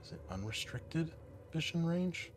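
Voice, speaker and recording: A man's voice: a little high, very animated, measured pace. A man narrating a tabletop roleplaying game as game master in an informal, conversational way. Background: background music.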